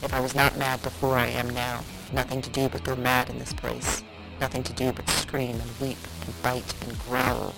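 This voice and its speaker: A woman voicing the spirit of a confined mad woman from the past, speaking in a measured, flat, incantatory way over loud music. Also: very deep voice, gravelly, low-quality recording. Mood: defiant. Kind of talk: audio drama.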